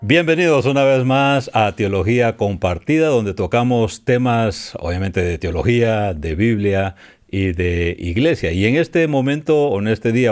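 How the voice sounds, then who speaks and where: A man hosting a podcast interview in a friendly, conversational manner with halting restarts. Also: lowish voice, darkish tone. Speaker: podcast host.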